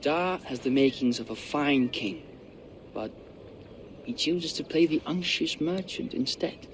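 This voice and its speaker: A brash young prince. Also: male voice, a little high, animated, measured pace, a little hiss or hum. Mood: defiant. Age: teens. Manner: mocking, defiant. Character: brash seventeen-year-old prince, rebellious.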